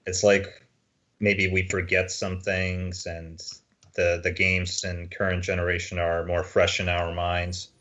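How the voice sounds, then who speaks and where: A man giving his view as a podcast panellist in a measured, considered, conversational way. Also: low voice, completely flat delivery, pushed and bright, dull audio. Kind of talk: casual gaming discussion.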